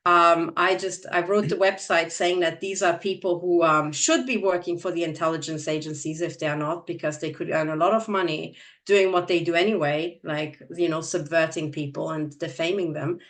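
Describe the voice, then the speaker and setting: A woman's voice: lowish voice, fairly even intonation, moderate pace, fairly bright tone, distant mic. A woman from Transylvania, a native Hungarian speaker, co-hosting an online chat, talking earnestly with many fillers and restarts. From Transylvania, Romania. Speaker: channel co-host. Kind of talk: conversational video chat.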